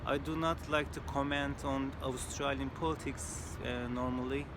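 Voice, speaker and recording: A man's voice: medium pitch, fairly even intonation. Earnest Turkish-accented man over traffic noise. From Turkey. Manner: earnest, measured, with frequent hesitations. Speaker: video commentator. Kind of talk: personal spoken commentary to camera. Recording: outdoor traffic noise behind.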